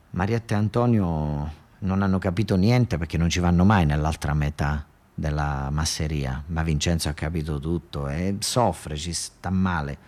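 A man's voice: fairly low pitch, darkish tone. Southern Italian man, colloquial emphatic storyteller. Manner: repetitive, emphatic, rambling folk-storyteller delivery. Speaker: storyteller-actor performing a narrative monologue. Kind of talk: radio theatre monologue, colloquial storytelling.

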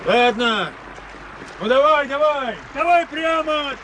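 Cossack sentry, very high-pitched voice, wildly swinging intonation, rustic, servile to officers, radio drama, noisy water ambience. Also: male voice, expressive, slow pace, full character voice. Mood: eager, deferential. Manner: folksy rustic speech, barking calls. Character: shrill, excitable Cossack sentry, deferential to officers. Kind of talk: radio play acting.

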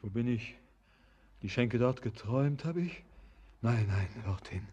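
A man's voice: medium pitch, animated, unhurried, dark tone, slightly rough. An actor playing a feverish, yearning man in an impassioned, declamatory classical verse radio drama. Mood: restless urgency. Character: feverish, yearning man obsessed with a supernatural beloved.

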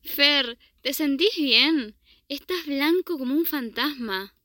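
A woman's voice: sits high, takes it slowly, sharp tone, no echo, studio quality, exaggerated voice. A young woman with an Argentine accent playing a caring, chatty university friend in a student radio drama. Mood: concerned.

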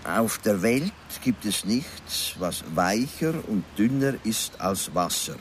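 A man's voice: on the low side, expressive, slow. An actor playing a charming, flirtatious man who likes to explain things, in natural Swiss German radio drama dialogue, with faint background noise. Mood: eager lecturing.

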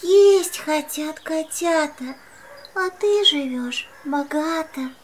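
A woman's voice: high voice, takes it slowly, slight background noise. A woman narrating a children's verse tale in a storybook style.

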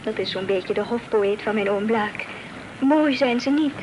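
A woman acting a prim, playful young lady of good family in a period radio play. Her voice is very high, her manner formal and coy with gentle reproof. The old recording has clear noise. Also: normal speed, muffled recording, close to an everyday voice. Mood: teasing, polite. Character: well-bred young Dutch lady, prim, playful, modest.

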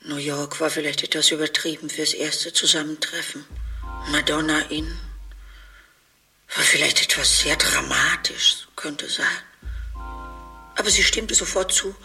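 An actress playing a catty, sharp-tongued middle-aged half-sister in a radio play, speaking with a breathy voice and a sarcastic, gossipy manner. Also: female voice, low voice, fairly even intonation, a little slow, long pauses, soft background music, exaggerated voice.

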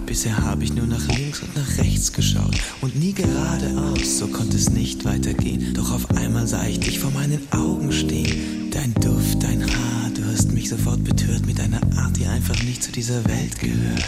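Young romantic hero, earnest, effusive, mid-pitched, musical radio play, loud music behind. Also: male voice, big pitch swings, normal speed, gravelly. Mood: lovestruck. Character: earnest, lovestruck young hero of a comic fairy tale.

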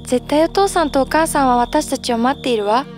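A woman voicing a kind, gentle young girl with a sweet, earnest manner in a storybook cartoon. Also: sits high, a little fast, soft background music, close to an everyday voice. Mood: reassuring.

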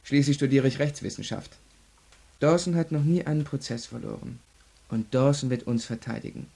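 Radio crime drama: young male prisoner, self-assured and arrogant, husky with some fry, clipped defiant delivery. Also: mid-range voice, fairly even intonation, normal speed, warm tone. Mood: smug, boastful. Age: young adult.

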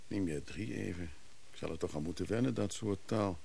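An actor playing a wry, scheming antiques hustler in a hissy radio play recording, courteous but hesitant with others. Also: male voice, low-pitched, fairly quick, creaky, mellow. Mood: grumbling, wry. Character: scheming antiques hustler in his mechanical-music trade, wry, smooth. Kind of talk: Dutch radio play acting.

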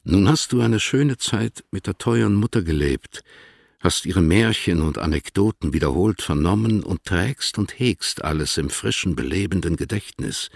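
Deep, gravelly, dignified older poet. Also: male voice, lively intonation, dry recording, studio quality, full character voice. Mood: courteous request. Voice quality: gravelly, husky. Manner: formal, composed, deliberate. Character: dignified older male poet, measured, fond, instructive. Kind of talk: literary radio drama, formal letter reading.

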